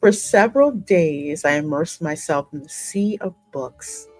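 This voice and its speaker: A woman with a lowish voice narrates a fantasy game story. Her reading is measured and dramatic, with music playing behind her. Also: lively intonation, poor audio. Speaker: gaming host voicing the player character. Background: musical game soundtrack.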